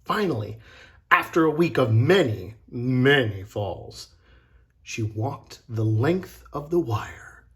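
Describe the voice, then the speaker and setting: A man reads a children's storybook aloud like a teacher. His pitch moves in lively swings and his pace is slow. He leaves dramatic pauses and narrates with deliberate expression.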